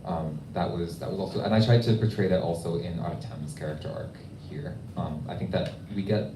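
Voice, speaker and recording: A man speaking conversationally as an author at a question-and-answer event, thoughtful and halting with pauses. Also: deep voice. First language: English. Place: bookstore event room. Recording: echoey room, distant mic, noisy.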